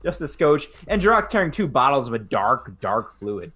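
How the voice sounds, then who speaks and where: Guy playing tabletop, bright sound, lots of ums and laughs, swingy pitch. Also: big pitch swings, moderate pace, slightly rough. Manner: hesitant, laughing. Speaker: player. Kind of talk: casual tabletop play chat.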